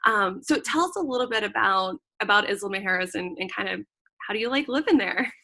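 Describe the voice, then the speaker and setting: A woman's voice: medium pitch, animated, brisk, creaky, bright, forceful tone. A woman hosting a travel show interview over a video call, upbeat and eager.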